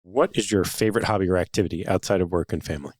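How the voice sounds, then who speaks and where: A man hosting a podcast, asking closing questions in a brisk, quick way. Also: sits low, darkish tone, dry recording, clean studio sound. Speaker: podcast host. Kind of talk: interview questions.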